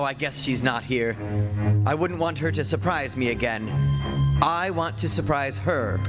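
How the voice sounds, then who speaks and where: Man acting henpecked old husband in echoey hall. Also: fairly high pitch. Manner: wry, confiding, grumbling to listeners. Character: very old, henpecked, long-suffering husband of a witch. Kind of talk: stage radio play, 1940s-style narration. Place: theatre stage before an audience. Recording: echoey stage hall, music behind.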